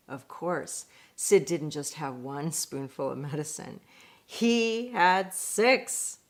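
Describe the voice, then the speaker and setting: A woman reading a picture book aloud in an expressive, deliberate way, with chatty asides. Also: sits low. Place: indoors at home.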